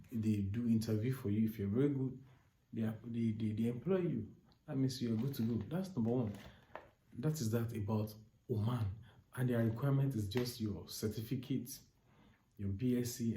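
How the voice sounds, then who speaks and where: Nigerian man, mellow voice, echoey room. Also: medium pitch. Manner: repetitive, enthusiastic, stacks repeated words and restarts. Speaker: recruitment agent. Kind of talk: informal advice vlog.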